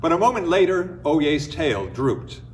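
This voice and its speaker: A man narrates a folktale in a very animated, fairly high voice. His phrasing is measured and dramatic, and he sits a little away from the microphone. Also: far from the mic, slight background noise. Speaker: storyteller, reader. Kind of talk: expressive scripted folktale narration.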